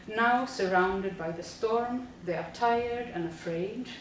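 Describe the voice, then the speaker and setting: Woman, teacher presenting, steady with fillers, distant mic, booming echo, faint hiss. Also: slow pace. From Sweden. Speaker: teacher presenting a school project. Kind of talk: reading prepared narration.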